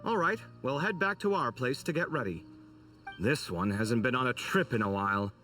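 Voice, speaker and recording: A man voicing an expressive, exasperated but good-hearted scholar in a video game, with a theatrical, sarcastic, emphatic delivery. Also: mid-range voice, normal speed, distant mic, faint music behind. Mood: warm, teasing. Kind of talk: scripted video game voice acting.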